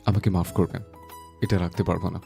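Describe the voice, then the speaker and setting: A man's voice: low voice, level tone, brisk, creaky, mellow, soft background music. A man playing a resolute young grandson, blunt and firm yet respectful, in a dramatised audio story. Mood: firm refusal.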